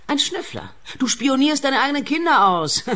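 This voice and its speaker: Bitter, swooping, scornful daughter. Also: female voice, high voice, sing-song, normal speed, sharp tone, heavily acted. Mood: indignant, bitter. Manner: indignant, cutting, dramatic. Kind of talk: radio comedy-crime acting.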